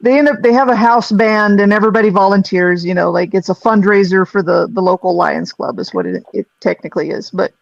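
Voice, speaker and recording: A woman with a low voice, a singer who also runs live sound, chatting loosely on a group call with lots of restarts. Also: fairly quick, creaky, hardly any pauses, dry recording, lo-fi sound. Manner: rambling, restarts, self-deprecating laughs. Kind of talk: casual group video-call chat.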